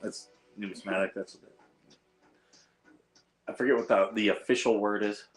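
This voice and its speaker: A hoarse man hosting a casual livestream, rambling with lots of fillers and reading out the chat. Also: fairly low pitch, fairly even intonation, moderate pace, soft background music. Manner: rambling, reads chat aloud, frequent fillers. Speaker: host of a veterans livestream. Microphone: iPad.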